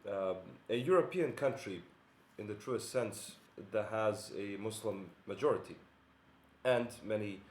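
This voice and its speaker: A man from Bosnia, his tongue, giving an earnest, measured monologue with many pauses and fillers. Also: lowish voice, darkish tone, reverberant, a little hiss or hum. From Bosnia and Herzegovina. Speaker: video commentator. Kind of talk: monologue to camera.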